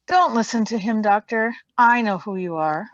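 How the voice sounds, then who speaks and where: A woman's voice: mid-range voice, normal speed, dull audio, natural voice. A woman playing an earnest, inquisitive young companion in a radio play reading.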